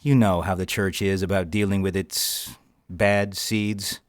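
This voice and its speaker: A grave, learned bishop in an audio drama. His voice sits fairly low and is recorded dry. He explains things in measured, formal phrases with a weary, dismissive edge. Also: fairly even intonation, dry recording.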